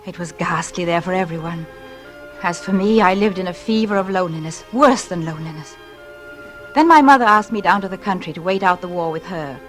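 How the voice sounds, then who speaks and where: A woman's voice: on the low side, brisk, fairly bright tone, close to an everyday voice. Lonely, intense woman over prominent music radio actress. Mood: haunted, confessional. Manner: intense, confessional. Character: lonely, yearning young married woman confessing a wartime misdeed. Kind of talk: 1940s radio acting, dramatic first-person narration.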